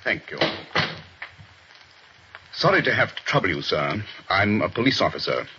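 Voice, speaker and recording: An actor playing a stern middle-aged police chief inspector, in a 1940s radio drama, husky and clipped. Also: male voice, fairly low pitch, sing-song, normal speed, background noise. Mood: grave. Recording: dull old radio broadcast.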